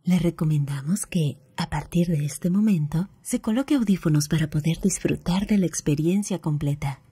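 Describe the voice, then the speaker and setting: A woman whispering a scripted ASMR channel announcement in a very breathy voice, close to the microphone. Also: low voice, moderate pace, dark tone.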